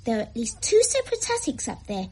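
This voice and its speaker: A woman playing an eager, imaginative, polite little boy in a radio drama, chattering excitably. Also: on the high side, fast talker, slightly rough, heavily acted. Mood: eager, excited.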